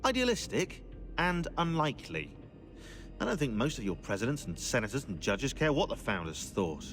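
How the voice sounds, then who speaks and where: An actor playing a sardonic, opinionated historian in a video game, speaking in a dry, indignant, emphatic way. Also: male voice, mid-range voice, wildly swooping pitch, moderate pace. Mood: scornful, indignant. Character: sardonic, opinionated historian ally, scornful of politicians. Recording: music behind the voice.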